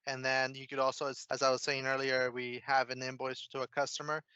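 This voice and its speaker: Guy doing a casual software demo step by step, crisp bright voice, bit dull online-call audio. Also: medium pitch, monotone, brisk, no echo. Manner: informal, explanatory, step by step. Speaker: software consultant, demo presenter. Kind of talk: casual screen-share software walkthrough.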